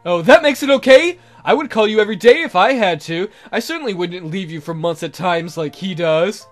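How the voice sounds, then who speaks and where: A man's voice: high voice, lively intonation, a little fast, soft background music. A man voicing a pushy, jealous male suitor in a romance visual novel, insistent and pleading. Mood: indignant.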